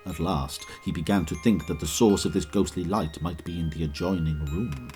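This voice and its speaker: Narratore, voce grave, racconto misurato, musica leggera sotto. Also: male voice, low-pitched, fairly even intonation, dark tone, soft background music. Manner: measured, vivid storytelling. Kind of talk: scripted storybook narration.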